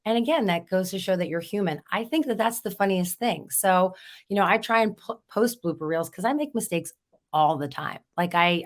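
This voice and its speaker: A hoarse woman, a real estate agent, chatting in an interview and telling stories with easy laughter. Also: mid-range voice, a bit bright. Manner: chatty, storytelling, laughs easily. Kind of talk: casual interview chat.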